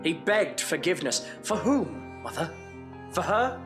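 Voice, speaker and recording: A man playing a weary, earnest Victorian gentleman in an audio drama, formal and probing. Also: a little high, sing-song, measured pace, over music. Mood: pleading, insistent.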